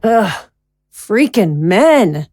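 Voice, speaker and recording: Audio drama wife, thirties: demanding, childishly impulsive, slow and emphatic, close dry sound. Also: female voice, mid-range voice, big pitch swings, a little mellow, no echo, full character voice. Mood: bossy, scornful. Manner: demanding, emphatic, drawn-out delivery.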